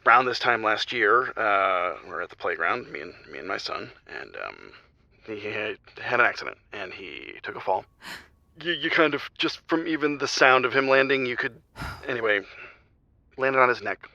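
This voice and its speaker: A man playing a grieving married father calling an advice show, speaking haltingly and confessionally, on slightly muffled audio. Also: on the low side, big pitch swings, gravelly, sharp tone, no room sound, heavily acted. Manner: halting, subdued, trailing off. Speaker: caller.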